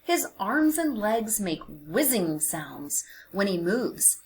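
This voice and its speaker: A woman narrating a picture book for young children, expressive and deliberate, with dramatic emphasis and hushed phrases. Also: mid-range voice, a little hiss or hum. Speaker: children's read-aloud narrator.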